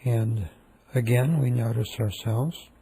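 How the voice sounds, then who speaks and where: A man leading a guided meditation, speaking calmly and softly with long, repetitive pauses. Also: mid-range voice, gravelly, slight background noise.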